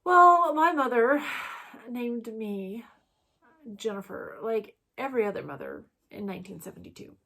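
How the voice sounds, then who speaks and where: A woman's voice: fairly high pitch, lively intonation, normal speed, creaky, bright, forceful tone. A woman, co-hosting a casual stitching chat, rambling and chatty with plenty of fillers. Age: 40s. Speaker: co-host.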